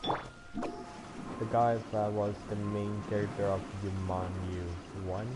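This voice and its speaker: A man streaming a game, chatting casually and thinking out loud in a rambling way. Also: fairly low pitch, level tone, slow, loud music behind the voice, low-quality recording. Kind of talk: casual livestream chat while gaming.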